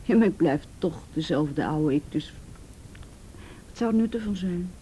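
A woman's voice: animated, normal speed, mellow, lightly acted. A woman acting a wary, self-deprecating sixteen-year-old schoolgirl in a radio play, hesitant and repeating her words. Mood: timid, downcast. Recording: faint hiss and low rumble.

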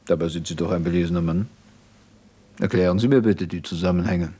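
An actor playing a zealous, self-assured revolutionary commander in a German radio play, didactic and needling. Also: male voice, deep voice, steady tone, a little fast, dark tone, slightly rough.

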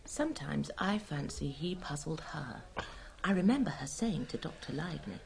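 A woman's voice: sits low, animated, moderate pace, creaky, darkish tone, low-quality recording. A woman playing a plain-spoken, proper nurse in a radio drama, with an English accent and a confiding, frank manner. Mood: thoughtful, candid. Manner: confiding, hesitant, then frank.

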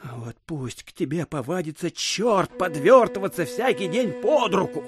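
An actor playing an envious provincial official in a period audio drama, with a husky voice and a folksy, sardonic way of talking. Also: male voice, high voice, animated, moderate pace, soft background music. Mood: grumbling, sardonic. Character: grumbling, envious provincial male official of tsarist Russia.

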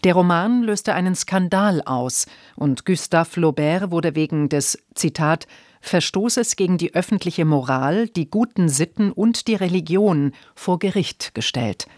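A woman's voice: lowish voice, expressive, unhurried, a little mellow, no echo, studio quality. A woman radio announcer reading a scripted introduction to a literary broadcast. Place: dry radio studio.

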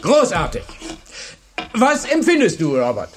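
An actor in a radio play voicing an authoritative, rousing male high school teacher who speaks deliberately. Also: wildly swooping pitch, heavily acted. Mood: rousing, firm. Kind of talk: radio drama acting.

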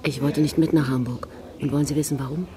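An actress playing a forthright, flirtatious and discontented middle-aged academic's wife in a radio drama, wry and teasing. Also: female voice, low-pitched, fairly even intonation, fast, crowd noise, lightly acted. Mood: bitter, ironic. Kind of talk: naturalistic radio drama acting.

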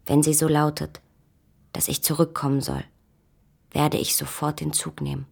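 An actress playing a young woman lover reading her letters in a restrained, formal, measured way for a German literary radio drama. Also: low-pitched, little pitch movement, vocal fry, darkish tone, breathy edge, exaggerated voice. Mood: restrained pleading.

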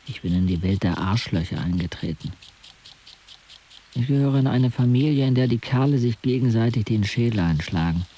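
A man's voice: mid-range voice, animated, normal speed, warm tone, breathy edge. An actor playing a brooding, disillusioned young man who narrates his life in an introspective, sardonic way for a radio drama. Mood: bitter, resigned. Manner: introspective, sardonic, literary phrasing.

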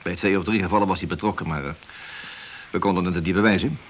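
Actor as fast-talking low-voiced police detective. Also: male voice, fairly even intonation, natural voice. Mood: matter-of-fact. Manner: blunt, needling. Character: brusque, hard-nosed male police detective. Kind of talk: radio drama acting. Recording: dull, noisy old radio play recording.

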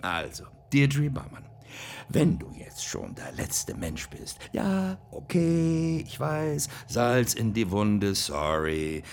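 An actor playing a talkative computer persona who argues insistently and lectures. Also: male voice, mid-range voice, slow, slight background noise. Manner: animated, insistent, preachy. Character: talkative computer persona, male, pushy and lively.

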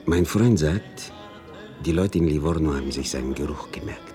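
A man's voice: extremely low pitch, normal speed, dark tone, faint music behind. An actor playing a shrewd, talkative Italian informant with an Italian accent who mixes Italian words into his speech in a radio drama. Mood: matter-of-fact. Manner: slips Italian words into broken German.

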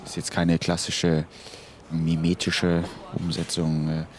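Male interviewee, deep and flat, thoughtful, word-searching, slight background noise. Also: measured pace. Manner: abstract, searching for words. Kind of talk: reflective interview talk.

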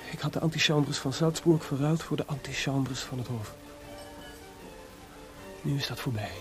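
An actor playing a feverishly excited, sleepless and proud male composer in a radio drama, confiding and rhetorical. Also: mid-range voice, natural voice, low-quality recording. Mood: confiding, relieved.

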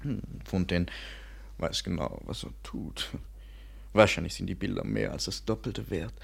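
A vain, easily wounded Parisian painter in a fifties radio play. The voice is gravelly and rough-edged at an ordinary male pitch, delivered with haughty pomposity. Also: measured pace, exaggerated voice. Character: touchy, self-important male Parisian painter, newly puffed up. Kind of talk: 1950s German radio drama acting.

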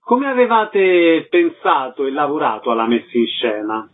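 A man's voice: on the high side, moderate pace. A man hosting on the radio, giving announcements and asking interview questions. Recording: narrow phone-like radio sound.

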